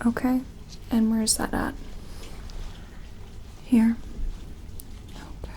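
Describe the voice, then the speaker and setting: A woman doing a soft-spoken ASMR roleplay as a gentle, curious sci-fi medic, soothing and close to the microphone, leaving long pauses. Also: a little high, steady tone, creaky, poor audio. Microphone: close-up sensitive ASMR microphone.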